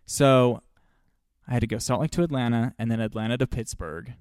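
A man's voice: mid-range voice, fairly even intonation, fairly quick, a little mellow, clear, resonant tone, no room sound, clean studio sound. A man co-hosting a casual podcast, chatting in an upbeat, easygoing way with plenty of "um" and "like". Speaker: podcast co-host. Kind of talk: casual chat.

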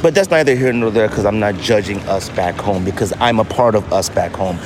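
A man's voice: on the low side, brisk, noisy background. A man hosting a casual solo podcast, talking emphatically and repeating phrases to drive them home. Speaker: podcast host.

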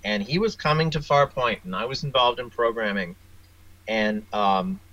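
A man's voice: mid-range voice, moderate pace, bright, forceful tone, dry recording, lo-fi sound. A man giving casual interview answers as a guest author, rambling and hesitant in his delivery. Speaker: interview guest, author and audio drama producer.

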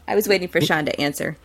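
An enthusiastic woman chats as a podcast co-host, her pitch in the middle but moving around a lot. Her style is casual and friendly, like someone recapping television with friends. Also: slightly rough.

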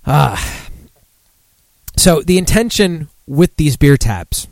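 A man's voice: fairly high pitch, a little mellow, no room sound. A mildly tipsy man hosting a casual live podcast, rambling and swearing in a self-deprecating way. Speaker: podcast host. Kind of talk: casual live beer-news chat.

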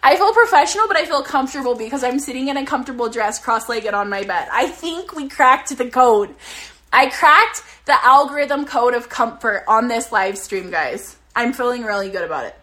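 A young woman sitting on her bed giving casual, energetic motivational business coaching on a live stream, swearing now and then, her voice slightly worn from talking too much. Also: a little high, moderate pace.

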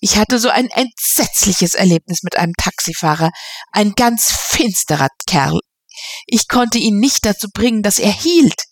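A woman's voice: medium pitch, expressive, unhurried, a little hiss or hum, voice pushed up. A woman voicing an anxious, melodramatic young man. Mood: pleading. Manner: pleading, breathless and dramatic.

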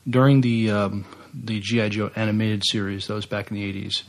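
A man co-hosting a podcast, chatting casually in hesitant, halting phrases. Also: on the low side, flat delivery. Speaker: podcast co-host. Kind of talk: casual unscripted podcast chat.

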